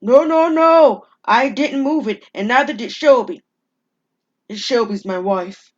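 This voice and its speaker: A woman's voice: a little high, slow, highs cut off, pitched above the performer's own voice. A woman performing an urgent, flustered husband spooked by an intruder in an audio drama. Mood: rattled, insistent.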